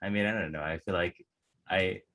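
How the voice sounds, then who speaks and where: A man with a fairly low voice hosts a casual podcast interview. He is upbeat in his intro, then asks his questions haltingly, with lots of fillers and restarts. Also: steady tone, fairly bright tone. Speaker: podcast host.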